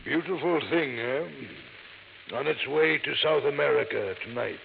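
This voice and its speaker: A grand, gravelly old safe maker who talks with slow, formal pomp. The old radio broadcast sounds thin and tinny, with a long, roomy echo around him. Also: male voice, mid-range voice, big pitch swings, a little hiss or hum, exaggerated voice. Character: pompous older male safe manufacturer. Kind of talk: 1950s radio drama acting.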